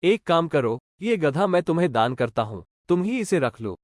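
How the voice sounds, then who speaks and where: A man's voice: on the high side, very animated, moderate pace, no room sound, clean studio sound, pitched above the performer's own voice. A man voicing a gullible, indignant Brahmin scholar in a children's moral story, insistent and exasperated. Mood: exasperated, resigned.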